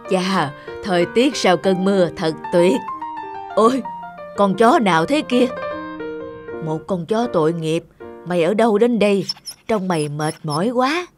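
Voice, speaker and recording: A woman playing a kindly, compassionate elderly grandmother in an animated tale, gentle and caring. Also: mid-range voice, unhurried, long pauses, faint music behind, lightly acted. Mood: caring, curious. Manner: gentle, caring, musing aloud. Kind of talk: animated moral tale dubbing.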